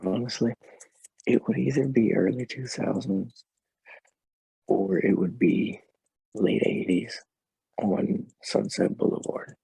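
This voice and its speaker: Deep gravelly-voiced young man. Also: slow, pauses often, warm tone, no room sound. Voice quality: naturally deep, slightly slurred and muffled. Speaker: rapper guest. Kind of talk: casual interview chat.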